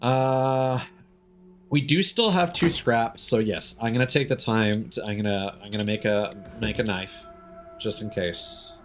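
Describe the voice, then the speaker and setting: A man's voice: fairly low pitch, unhurried, soft background music. A man giving casual live gameplay commentary as a streamer, thinking aloud as he plays. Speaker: game streamer.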